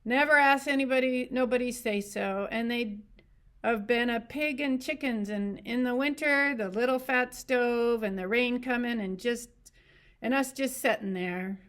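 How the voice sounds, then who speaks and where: A woman's voice: a little high, unhurried, pitched above the performer's own voice, close to an everyday voice. A woman reading an old ranch hand, pleading and wistful. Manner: plaintive, wistful sing-song.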